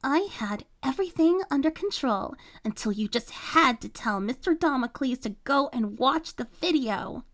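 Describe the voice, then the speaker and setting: A woman voicing a spoiled, scheming teenage rich girl with a high, whiny, sneering voice. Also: expressive, a little slow. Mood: sulky. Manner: sneering, self-pitying.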